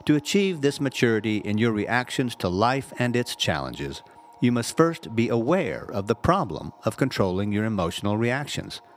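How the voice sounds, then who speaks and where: A man reading a scripted inspirational message as a reverend, in a measured, earnest and carefully phrased way. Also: fairly low pitch, big pitch swings, slight background noise. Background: background music.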